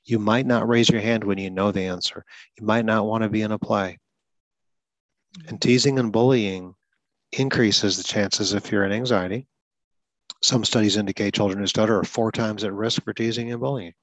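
Low-voiced earnest man, dry close mic. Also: steady tone, normal speed, dry recording, low-quality recording. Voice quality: person who stutters. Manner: earnest, explanatory, occasional repetitions. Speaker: podcast host. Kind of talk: advocacy talk about stuttering.

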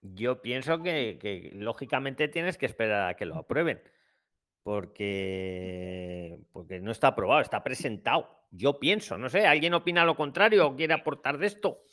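A man's voice: mid-range voice, expressive, normal speed, fairly bright tone. A man with an accent from Spain hosting an informal live advice discussion, colloquial, often interjecting and directing who speaks. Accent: Spanish from Spain. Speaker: host of a live advice call-in. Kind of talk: informal unscripted group discussion.

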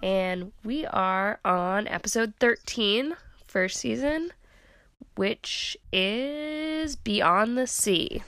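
A woman co-host chats casually with a stuffy, congested sound, rambling and filling pauses as she goes. Her pitch sits mid-range, and the recording is dry and close, with no room echo. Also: fairly bright tone, soft background music. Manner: chatty, rambling with many fillers. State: congested from a sinus headache. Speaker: podcast co-host. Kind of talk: casual chat.

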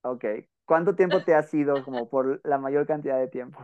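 A man's voice: on the high side, moderate pace, mellow, clean tone, highs cut off. A man with a Mexican Spanish accent speaking informally and chattily as a podcast host and interviewer. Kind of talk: casual podcast talk and interview.

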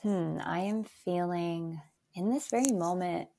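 A young woman from Albania raised in Canada, a native Albanian speaker, telling her life story as an interview guest in a calm, reflective, soft-spoken way. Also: fairly low pitch, measured pace, vocal fry, slight background noise. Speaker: guest, eating disorder advocate.

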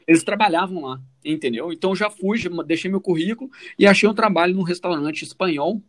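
Brazilian guy, voice a bit on the high side, telling his story super casual like chatting with a friend. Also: fast. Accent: Brazilian Portuguese. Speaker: podcast co-host telling own story.

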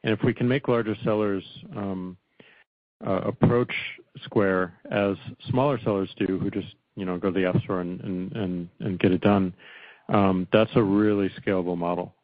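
Low-voiced male executive on a phone line. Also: fairly even intonation, no echo. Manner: halting, hesitant phrasing with repeated words. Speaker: company executive answering analysts. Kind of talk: earnings call remarks, unscripted. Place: conference phone call. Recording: narrow, muffled phone line, dry.

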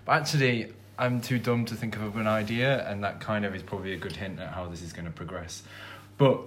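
A man's voice: on the low side, steady tone, fairly quick, slight background noise. A man from England with a Hull accent, co-hosting a casual podcast, rambling and self-deprecating, teasing his partner. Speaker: podcast co-host. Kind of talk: casual chat.

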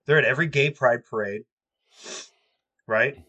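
A man's voice: mid-range voice, normal speed, sharp tone, highs cut off. A man hosting a podcast who rants angrily and swears constantly, sniffling from allergies, talking from a cold house. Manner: angry, profane ranting. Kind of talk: ranting podcast talk.

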